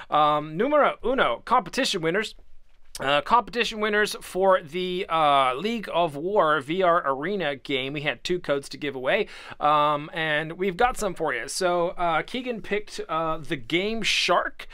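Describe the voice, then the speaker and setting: Man, raspy today, bright clear tone, dry close mic, casual podcast chatter. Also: on the high side, lively intonation, normal speed, no room sound. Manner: chatty, laughs easily, many fillers. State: raspy voice from waking up. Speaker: podcast co-host.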